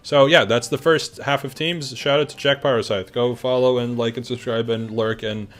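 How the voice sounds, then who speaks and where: A man's voice: medium pitch, fairly even intonation, moderate pace, a bit bright. A young man who is sick and losing his voice, hosting a casual team announcement in an upbeat, rambling way with lots of fillers. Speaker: event host announcing teams.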